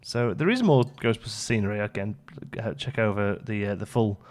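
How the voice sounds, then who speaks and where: A man's voice: fairly low pitch, lively intonation, a little fast, darkish tone. A man hosting a let's play, chatting casually and thinking aloud as the game goes along. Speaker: gaming video host.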